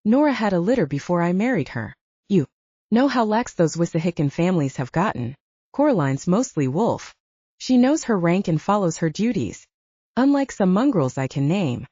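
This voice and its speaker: A woman's voice: on the low side, very animated, normal speed, dark tone, husky, dry recording, lo-fi sound. A woman as a proud, defensive male werewolf. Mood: defensive, disgusted. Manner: hasty, scornful.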